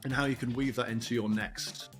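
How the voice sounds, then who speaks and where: A man's voice: medium pitch, fairly even intonation, a little fast, over music. A man hosting a conversational interview, reflective and probing. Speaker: interviewer, host. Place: remote video call.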